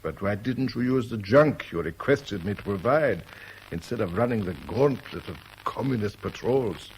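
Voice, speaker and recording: An actor playing a suave, menacing crime boss with urbane, formal phrasing in a 1970s radio drama. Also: male voice, fairly low pitch, expressive, moderate pace, a little hiss or hum, poor audio. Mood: silky, courteous.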